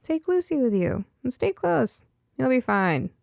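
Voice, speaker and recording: A woman voicing a cheerful, caring mother in an audiobook story, gently teasing and reassuring. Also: high voice, sing-song, a little slow, vocal fry, dry recording, clean studio sound, voice pushed up, full character voice. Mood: encouraging. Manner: chuckling, reassuring, coaxing.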